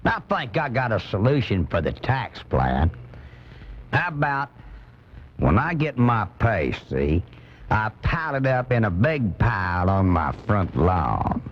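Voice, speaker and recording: An angry working-class American conservative on a comedy radio show. He rants bluntly and indignantly, with lively swoops in his voice. The sound is a dull cassette copy of a broadcast. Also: on the low side, unhurried. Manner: indignant, blunt, folksy ranting. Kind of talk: comic radio commentary monologue.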